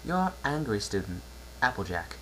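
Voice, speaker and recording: A man's voice: on the low side, very animated, moderate pace, pushed and bright, a little hiss or hum, low-quality recording. A man voicing an indignant, blunt female farm pony reading out an angry letter.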